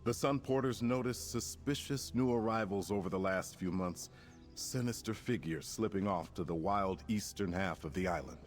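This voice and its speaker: An actor playing a formal, measured prince and leader in a video game. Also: male voice, low voice, soft background music, natural voice. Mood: grave briefing. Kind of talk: scripted game character dialogue.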